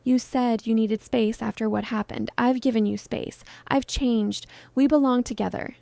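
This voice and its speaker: Lady doing a snobby teen mean girl in a story, high soft-toned voice, sweet but cruel. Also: fairly even intonation, moderate pace, vocal fry, no echo. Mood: pleading, possessive. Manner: honey-sweet but cutting.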